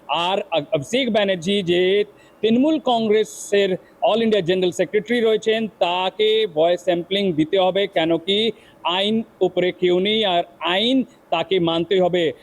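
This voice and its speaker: A man giving an unscripted news report as a reporter, halting and repetitive, often breaking off words. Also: high-pitched. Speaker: field reporter.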